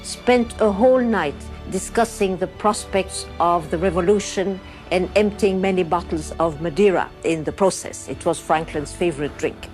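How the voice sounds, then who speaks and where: A woman tells a piece of history as an expert in a documentary interview. Her pitch sits a little low, and music is clearly audible behind her.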